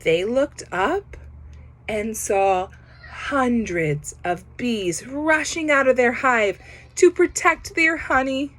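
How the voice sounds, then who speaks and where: A woman reading a children's picture book aloud, pausing to comment on the pictures. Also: on the high side, lively intonation, fairly bright tone. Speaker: storybook reader.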